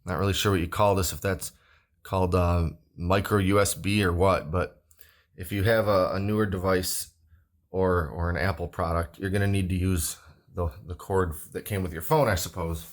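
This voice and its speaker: A man's voice: sits low, steady tone, moderate pace. A man giving a casual hands-on product review in an offhand, plain-spoken, slightly hesitant way. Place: indoors at a wooden table.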